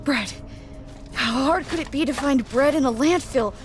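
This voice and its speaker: Woman as an anxious, plucky, higher-voiced scavenger. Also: normal speed, faint music behind, natural voice. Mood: exasperated. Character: anxious, plucky scavenger speaking of self by name. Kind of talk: audio drama acting and narration.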